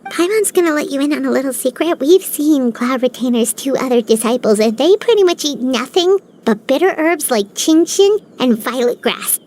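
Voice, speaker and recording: A woman voicing a tiny, excitable female companion sprite who talks about herself in the third person, in a game cutscene. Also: high-pitched, moderate pace, few pauses. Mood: teasing. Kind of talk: video game cutscene dialogue. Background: faint game music.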